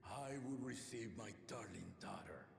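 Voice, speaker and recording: An actor playing a huge, proud old warrior king with a gravelly, commanding voice in a fantasy game. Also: fairly low pitch, moderate pace. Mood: grand, approving. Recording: game audio with long hall reverb, hiss.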